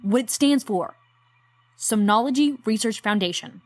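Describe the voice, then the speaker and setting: A woman's voice: a little high, expressive, a little slow, soft background music. A woman playing an eager, insistent research partner in an audio drama, blunt and impatient.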